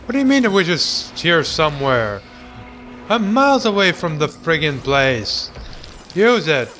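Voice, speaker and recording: A man's voice: on the high side, big pitch swings, a little slow, sharp tone, soft background music. A young man giving casual, sarcastic let's play commentary, joking and ranting about the game. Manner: goofy, sarcastic, hums and mock voices.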